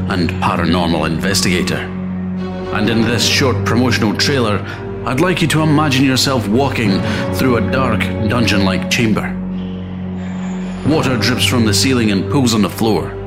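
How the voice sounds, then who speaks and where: Scottish radio man, low-ish voice, deadpan promo read over loud music. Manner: atmospheric build to a deadpan punchline. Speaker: radio host and podcaster. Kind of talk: comic promotional trailer.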